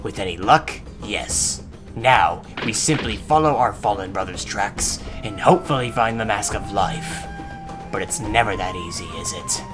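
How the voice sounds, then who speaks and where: A man voicing a cunning, sarcastic villain leader in an animated fan dub, dry and condescending. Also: on the low side, a little slow, lightly acted. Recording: music behind the voice.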